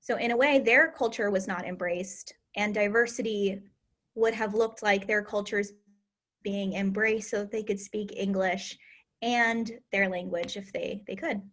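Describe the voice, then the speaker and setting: A woman's voice: mid-range voice, steady tone, measured pace, fairly bright tone. Earnest woman hosting a discussion. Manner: earnest, emphatic, reflective. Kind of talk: casual discussion.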